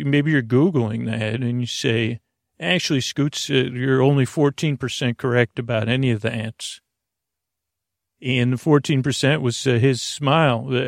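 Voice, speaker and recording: A man hosting a bedtime podcast with a meandering, halting, goofy and friendly monologue in a gravelly voice. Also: medium pitch, no room sound, studio quality. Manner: meandering, halting, trails off mid-word. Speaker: podcast host. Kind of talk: rambling, unscripted bedtime monologue.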